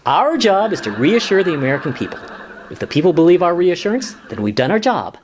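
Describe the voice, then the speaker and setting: A man acts out an earnest, defensive government lawyer in a radio comedy. His voice sits a little high and swings in pitch as he insists on his points. The recording has clear noise and clicks. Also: sing-song, fast talker. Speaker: actor in a radio play. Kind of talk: sitcom-style radio comedy acting.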